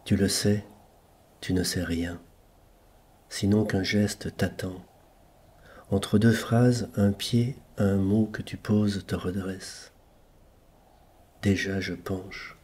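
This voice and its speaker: Guy reading poems real slow, soft mellow tone, kinda husky, lots of pauses between short lines. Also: sits low, steady tone. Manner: measured, contemplative, with deliberate pauses between short phrases. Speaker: poet reading own poems. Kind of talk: slow literary poetry recitation.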